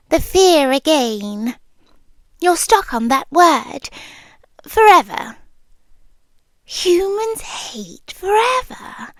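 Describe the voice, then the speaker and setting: A British woman playing an amused, possessive immortal monster queen in an intimate, hushed ASMR roleplay, teasing and often slipping into whispers. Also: very high voice, no room sound, heavily acted. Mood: possessive, coaxing.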